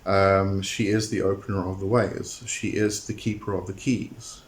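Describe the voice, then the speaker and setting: A man talking to his viewers in a hesitant monologue, often pausing on "um" and repeating words as he explains a term. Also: deep voice, little pitch movement, slight background noise. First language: not a Greek speaker. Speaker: occult channel host.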